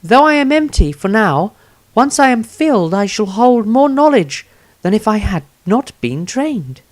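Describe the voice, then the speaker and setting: A woman voicing an earnest, devout priestess adventurer in a fantasy audiobook, with measured delivery. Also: mid-range voice, big pitch swings, darkish tone. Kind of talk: fantasy audiobook character reading.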